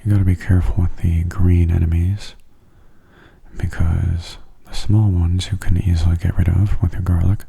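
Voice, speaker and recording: A man giving soft-spoken ASMR game commentary in a gentle, breathy, unhurried voice that often drops close to a whisper. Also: little pitch movement. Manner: gentle, unhurried, low-key. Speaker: gaming video host.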